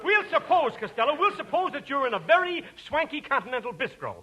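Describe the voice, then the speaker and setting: An actor playing a fussy, pompous etiquette professor in a 1940s radio comedy, lecturing grandly. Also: male voice, extremely high pitch, big pitch swings, fast, bright, forceful tone, lo-fi sound, heavily acted.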